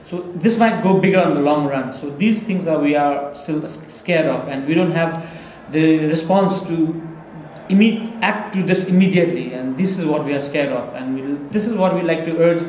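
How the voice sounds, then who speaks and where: Earnest man, slightly high voice. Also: moderate pace, a little mellow, slight background noise. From Nepal. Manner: earnest, restarts words often. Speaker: government delegate. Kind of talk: interview. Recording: echoey room.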